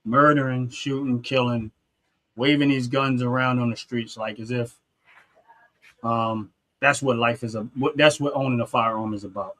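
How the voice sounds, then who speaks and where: Man, talk show host, earnest, mid pitch, halting restarts. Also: fairly even intonation, fairly bright tone. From North Philadelphia, USA. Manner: earnest, repetitive restarts, street-talk phrasing. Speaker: podcast host. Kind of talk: casual talk show discussion.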